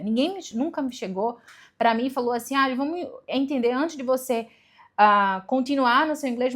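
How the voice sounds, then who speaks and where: A young woman, giving casual advice to camera in a chatty way, with rhetorical questions and self-corrections. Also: a little high. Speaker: vlogger giving language-learning tips.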